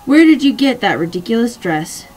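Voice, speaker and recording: A sharp-tongued, disapproving mother who raises her voice to scold, as a hoarse woman reads her aloud. The voice is pitched up and loud, a little strained and rough. It is a storybook reading. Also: very animated, normal speed, low-quality recording.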